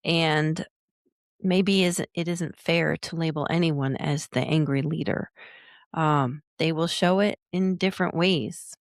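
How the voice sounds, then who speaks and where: A woman's voice: low voice, slow, no room sound, clean studio sound. A woman hosting an interview, speaking in a conversational, thoughtful way. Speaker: podcast host, psychologist.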